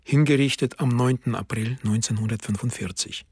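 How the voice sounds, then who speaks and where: A man reading a document aloud for a radio feature in a grave, measured way. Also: medium pitch, darkish tone, husky. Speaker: narrator. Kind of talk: scripted radio narration.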